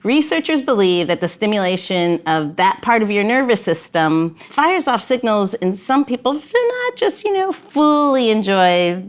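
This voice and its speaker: Jokey woman in her 40s hosting a chat show, pitch bouncing all over, laughs a lot. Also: very animated, normal speed, few pauses. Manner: giggly, jokey, playful. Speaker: podcast host. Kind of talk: casual chat.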